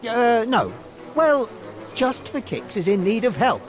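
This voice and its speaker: A man's voice: extremely high pitch, big pitch swings, a little slow, over music, exaggerated voice. A man voicing a shrewd, confident little warrior hero in a cartoon game, speaking politely and articulately. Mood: polite, resolute. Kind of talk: animated cartoon game voice acting.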